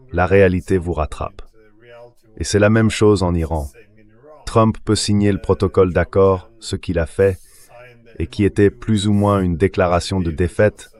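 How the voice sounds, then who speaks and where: A man speaking as a guest analyst, giving measured, explanatory commentary on world affairs. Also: deep voice, darkish tone.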